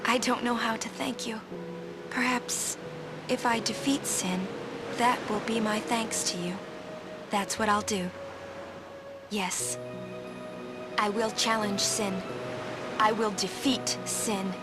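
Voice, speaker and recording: Soft-hearted young summoner girl from a game, slow and solemn, music behind her. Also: fairly high pitch, fairly even intonation. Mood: earnest, grateful. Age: young adult. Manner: measured, earnest, halting phrases. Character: gentle, resolute young summoner woman, solemn and grateful. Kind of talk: video game voice acting. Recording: game dialogue under music.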